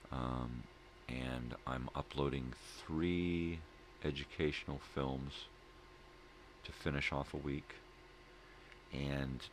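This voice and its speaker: A man's voice: a bass voice, a bit bright. A middle-aged man in a rambling casual morning chat, with a laid-back stoner drawl and a habit of repeating himself. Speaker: host of a daily morning video log. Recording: noticeable background noise.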